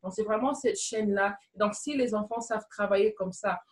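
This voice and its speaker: A woman's voice: mid-range voice, a little fast, distant mic. A woman from Congo, a guest entrepreneur, speaking earnestly in a conversational discussion and repeating phrases for emphasis.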